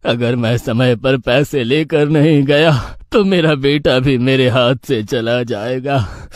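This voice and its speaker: A man voices a poor old farmer from a village cartoon tale, humble and full of grief. He pleads and laments at a middling pitch, recorded close and dry. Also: no room sound, lightly acted. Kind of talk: Hindi cartoon moral story dialogue.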